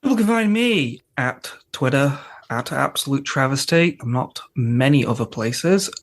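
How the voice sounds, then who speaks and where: A man's voice: mid-range voice, expressive, measured pace. A man co-hosting a podcast in casual chat. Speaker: podcast co-host.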